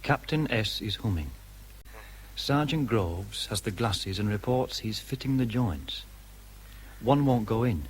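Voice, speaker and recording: An actor playing an army shorthand writer who reports timed entries impersonally in clipped military phrases, with faint noise behind, in a radio drama. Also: male voice, lowish voice, lively intonation, measured pace. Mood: impersonal, methodical.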